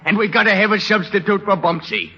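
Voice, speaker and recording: A man playing a brisk gangster who coaches crooks, tough, slangy and bossy, in 1930s radio comedy. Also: high-pitched, steady tone, gravelly, pushed and bright, a little hiss or hum, exaggerated voice. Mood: bossy, businesslike. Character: brisk gangster coaching bank robbers like athletes. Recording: dull old radio recording.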